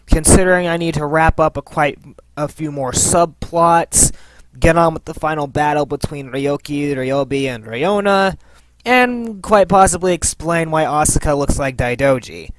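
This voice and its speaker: A man's voice: on the high side, normal speed, rough recording. A man hosting a fan Q and A with scripted, playful, theatrical announcing. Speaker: host of a fan Q and A.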